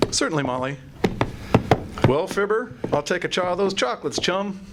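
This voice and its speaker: A fairly high, bouncing voice for a chummy radio pitchman , acted by a man. He is glib and wisecracking in the old announcer style, with clear noise from the live stage recording. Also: expressive, moderate pace. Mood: breezy, joking. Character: breezy wisecracking pitchman pal in radio comedy. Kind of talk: 1940s radio announcer acting.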